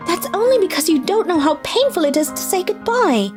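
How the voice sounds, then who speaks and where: A woman playing a youthful, expressive schoolgirl in scripted animated dialogue. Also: very high-pitched, a little fast. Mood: sad, reluctant. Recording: music behind the voice.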